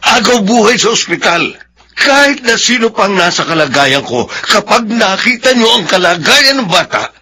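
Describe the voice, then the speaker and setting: A man's voice: sits high, big pitch swings, normal speed, bright, forceful tone, slightly rough, heavily acted. A Filipino man playing a defensive, repentant father of grown children, earnest and pleading, in a radio drama.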